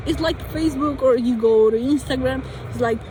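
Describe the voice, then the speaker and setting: A young Colombian woman with a Spanish accent, talking through her ideas out loud, hesitating and restarting her sentences. Her voice sits fairly high. Faint city traffic hums behind her outdoors. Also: fairly quick, low-quality recording. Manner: hesitant, many restarts and fillers. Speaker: vlogger. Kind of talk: thinking aloud monologue.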